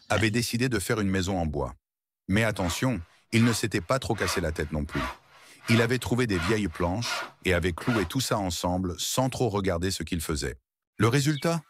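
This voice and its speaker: A man narrating a scripted children's story with expressive, playful storybook delivery. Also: sits low, slight background noise.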